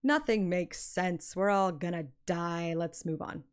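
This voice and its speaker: A woman's voice: on the low side, unhurried, smooth. A woman chatting casually on a live stream in a self-mocking, exclamatory way, with music behind her.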